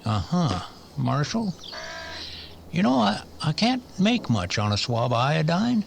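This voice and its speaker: A fussy old frontier doctor, wry and gossipy. His highish, creaky voice stammers and swoops up and down in radio western style, on a noisy track. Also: sing-song, slow, heavily acted. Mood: gossipy, sly. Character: folksy, fussy, chatty old male town doctor. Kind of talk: old-time radio western drama acting.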